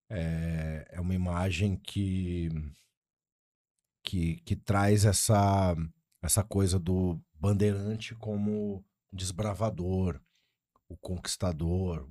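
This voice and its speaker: A man from Brazil, a podcast host asking his guest measured, formal questions. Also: low voice, dark tone, dry recording, clean studio sound. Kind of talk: interview questions.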